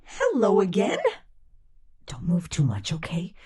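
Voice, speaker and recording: A woman voicing a sweet, obsessively protective killer robot captor, coaxing and fussing softly in a breathy ASMR roleplay. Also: fairly low pitch, wildly swooping pitch, unhurried, very noisy. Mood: worried, coaxing. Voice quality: breathy, often slipping into whisper. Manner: coaxing, falsely cheerful, fussing. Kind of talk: soft-spoken ASMR roleplay monologue.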